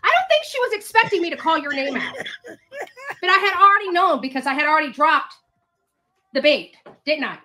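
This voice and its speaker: High, bright woman ranting, echoey room. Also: sing-song, brisk, long pauses. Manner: emphatic, repetitive, confrontational. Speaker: online commentator. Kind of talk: unscripted rant and advice to camera. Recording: roomy echo with faint noise.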